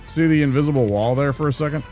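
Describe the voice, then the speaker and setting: A man's voice: a little high, fast, soft background music. A man streaming a video game live, chatting casually with his viewers and musing aloud as he plays. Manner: relaxed, chatty, muses aloud to chat. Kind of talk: casual live-stream chat while playing.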